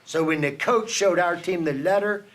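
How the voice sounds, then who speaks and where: A man reading a children's picture book aloud for a reading program, telling the story with dramatic pauses. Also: high voice, a bit bright.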